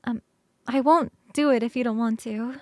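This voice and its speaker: Woman doing a cute succubus roommate for asmr roleplay, high voice, super dry close mic, playful n coaxing, kinda halting. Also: fairly even intonation, no room sound, natural voice. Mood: concerned, gentle. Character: affectionate, playful succubus roommate, young-sounding, eager to cuddle. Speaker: streamer performing scripted roleplay. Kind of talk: ASMR roleplay, spoken to the listener.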